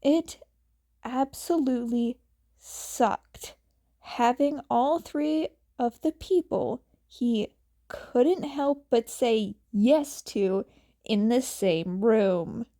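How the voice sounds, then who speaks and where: A woman's voice: on the high side, drawn-out words, warm tone. A woman reading fan fiction aloud as a scripted story narration. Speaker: fan fiction podfic reader.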